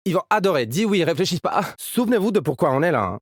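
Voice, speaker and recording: A man's voice: high-pitched, very animated, fast, breathy edge, no room sound, studio quality, full character voice. A man playing an upbeat, cocky young musician fighter in a video game, with casual, playful team banter. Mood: hyped, upbeat. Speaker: video game agent character. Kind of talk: game character voice lines.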